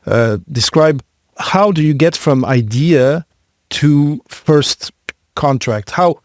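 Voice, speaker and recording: A man's voice: a little high, expressive, slow pace, darkish tone, no room sound. Rambling male podcast interviewer, dry sound. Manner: searching for words, rephrasing, many fillers. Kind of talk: conversational interview questions.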